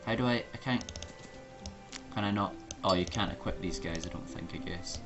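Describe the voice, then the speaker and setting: A man's voice: sits low, moderate pace. A man in his thirties giving casual gameplay commentary, muttering puzzled asides as he plays. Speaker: gaming video host. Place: indoor room at a computer. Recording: dull mic with loud game music behind. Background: game music, keyboard clicks, game voices.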